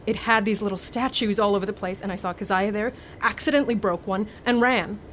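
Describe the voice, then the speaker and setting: An actress playing a haunted, anxious young student in a radio play, with a halting, uneasy delivery. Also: female voice, mid-range voice, steady tone, a little hiss or hum, natural voice. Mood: agitated. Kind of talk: dramatic radio play acting.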